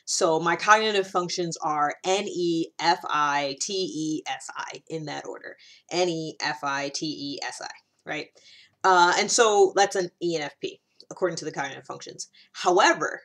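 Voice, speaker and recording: Woman w a lowish voice just chatting thru an explainer, rambly, keeps going off on side notes. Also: normal speed, fairly bright tone. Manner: informal, rambling and self-interrupting, with frequent asides. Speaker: host of a personality-typing series. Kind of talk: casual explainer monologue.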